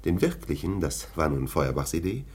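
An actor playing a scornful, domineering police lieutenant in a German radio play, with a sardonic, dismissive manner. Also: male voice, extremely low pitch, sing-song, moderate pace, slightly rough, heavily acted. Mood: confidential, sardonic.

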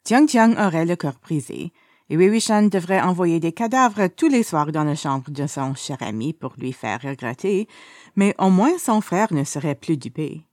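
A woman's voice: on the low side, lively intonation, normal speed, a little mellow, no echo, clean studio sound. A woman narrating a scripted story as a reader.

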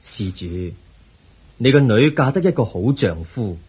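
A man with a Hong Kong Cantonese accent playing the Buddha, a calm, wise teacher who counsels gently and patiently in a radio drama. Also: mid-range voice, measured pace, slightly rough, exaggerated voice.

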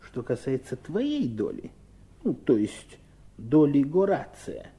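A man's voice: medium pitch, huge pitch swings, slow, mellow, slightly rough, full character voice. An actor in a 1970s Soviet radio play playing a stern, impatient husband and businessman with an insistent, scolding manner. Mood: explaining, insistent.

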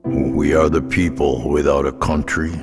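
An actor voicing the grave speaker of a dispossessed people, declaiming solemnly and in measured fashion in a radio play. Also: male voice, rumbling low, little pitch movement. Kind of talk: solemn declaimed English text in radio drama. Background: prominent music with brass.

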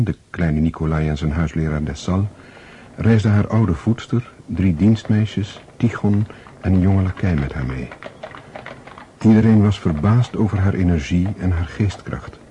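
A male actor as a sober storyteller in a radio play, deep and very dark in tone. His narration is calm and measured, with a faint hiss behind it. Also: dull audio, natural voice.

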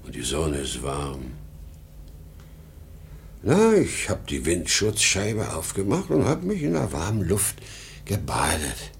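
An ageing travelling salesman for an old radio play, low-voiced and worn out. His delivery swings widely between weary rambling and proud boasting. A noticeable hiss lies over the vintage recording. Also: wildly swooping pitch, slow, vocal fry, pauses often, full character voice. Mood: dreamy, troubled. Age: 60s. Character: exhausted, rambling travelling salesman past sixty, proud and erratic. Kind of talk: 1950s German radio drama acting.

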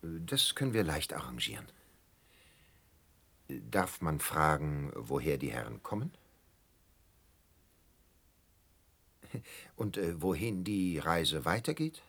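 A whining, vengeful barkeeper in a German radio play. His voice is husky and swings widely in pitch. He sounds self-pitying, obsequious and quick to take offence. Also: sing-song, a bit bright, exaggerated voice. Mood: servile, wary. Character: whining, resentful hotel barkeeper, obsequious yet vengeful man. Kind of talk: German radio drama acting.

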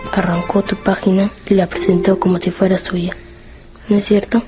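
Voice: medium pitch, little pitch movement, normal speed, close to an everyday voice. Una voz joven interpreta a un escolar solidario e insistente en una radionovela, con habla coloquial y repetitiva. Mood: indignant probing. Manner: pressing, repetitive, colloquial. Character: caring, insistent young schoolboy classmate. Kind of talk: radio drama acting. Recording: guitar music under the voice.

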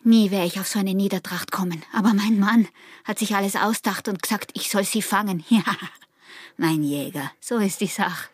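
An actress playing a young, defiant and teasing peasant wife in a radio drama, with a husky, breathy voice and an Austrian rural dialect. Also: female voice, medium pitch, moderate pace, dry recording, studio quality, close to an everyday voice. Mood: provocative. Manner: mocking, provocative.

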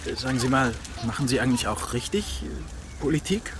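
An actor playing a hesitant young policeman in a radio drama, halting and tentative in his questions. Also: medium pitch, expressive, lightly acted. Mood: probing. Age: young adult. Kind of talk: German radio drama acting. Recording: clear outdoor street noise behind.

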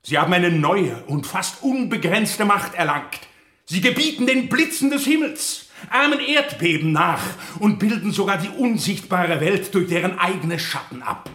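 An actor playing a visionary professor in a radio drama, declaiming a lecture with solemn, rousing fervour. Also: male voice, high voice, animated, normal speed, sharp tone. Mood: solemn, fervent. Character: visionary, fervent male chemistry professor lecturing. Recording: echoing hall acoustic.

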